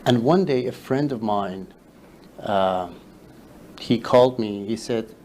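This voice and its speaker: A man whose first language is Farsi, a guest telling his story in a casual interview, halting with many fillers and restarts. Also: fairly low pitch, a little mellow, slight background noise. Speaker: interview guest, social media creator.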